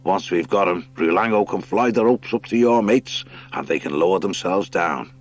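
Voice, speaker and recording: A man's voice: deep voice, moderate pace. A man voicing a good-natured male sea otter skipper in a storybook, jolly, with nautical rustic speech. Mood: hearty, scheming. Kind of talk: audiobook character acting.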